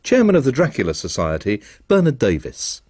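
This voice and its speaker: A man presenting a radio arts documentary with measured, articulate, scripted narration. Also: mid-range voice, wildly swooping pitch, a little mellow, dry recording, clean studio sound.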